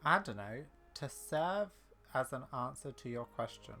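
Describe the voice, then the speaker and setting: A woman's voice: a bass voice, expressive, a little slow, bright, forceful tone, faint music behind. A woman giving casual, wry playthrough commentary and reading out dialogue choices as she plays. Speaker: gamer playing and reacting.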